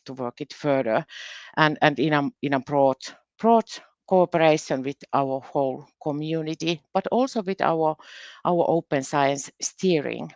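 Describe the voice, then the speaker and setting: A woman with a Finnish accent and Finnish as her first language gives a careful, halting conference presentation as a university research specialist, often doubling her words. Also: deep voice, no room sound, muffled recording. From Finland.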